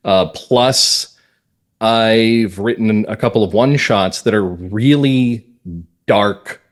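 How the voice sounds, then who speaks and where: Male interview guest, American, lowish voice, measured phrases with firm emphasis, conversational delivery. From northern Massachusetts, US. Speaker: guest playwright and comedy writer.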